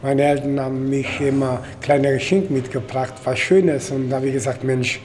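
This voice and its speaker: A man's voice: medium pitch, fairly even intonation, darkish tone, a little hiss or hum. A middle-aged man from Portugal with a Portuguese accent, talking in a casual interview and often stopping to search for words, seated in a kitchen. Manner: halting, searching for words with many fillers. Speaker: interviewee, fisherman. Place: indoor kitchen by a window.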